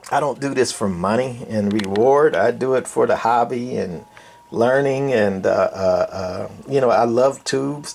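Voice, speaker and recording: Older male hobbyist presenter, gravelly fry in the voice, off-the-cuff and folksy, faint noise behind him. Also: mid-range voice, darkish tone. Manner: rambling, colloquial, folksy. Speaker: hobbyist radio repairer and video presenter. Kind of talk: casual unscripted bench walkthrough.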